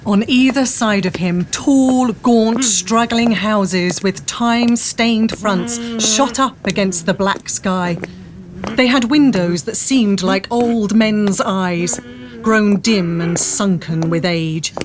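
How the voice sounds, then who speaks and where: A woman's voice: mid-range voice, takes it slowly, crowd noise. A woman reading scripted story narration as the narrator of an audio drama.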